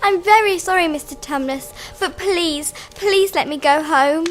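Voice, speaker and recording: A woman's voice: very high voice, unhurried. A female performer playing a kind, earnest little girl in a radio drama, polite and direct. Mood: pleading.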